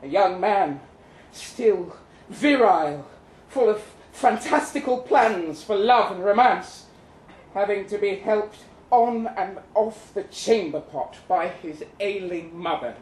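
Male reader as bitter witty young ex-soldier, theatrical, unhurried delivery, distant roomy live recording. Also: high voice, darkish tone, a little hiss or hum. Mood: bitter, self-mocking. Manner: theatrical, wry, florid. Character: bitter, witty crippled young Russian ex-soldier writing letters. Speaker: performer reading a short story aloud. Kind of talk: dramatic live literary reading in character. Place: live event venue in London, indoors.

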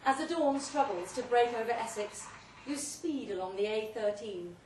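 A woman giving an emphatic, deliberately phrased dramatic reading of a second-person short story at a live event. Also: fairly high pitch, lively intonation, a little mellow. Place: indoor pub event, before an audience. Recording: roomy live pub recording, distant mic, faint noise.

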